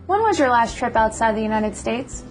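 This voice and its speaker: High-voiced, formal immigration officer. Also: female voice, sing-song, normal speed, faint music behind. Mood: businesslike. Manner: formal, procedural, polite. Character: courteous, businesslike female immigration officer. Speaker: actor playing an immigration officer. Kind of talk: scripted educational dramatization.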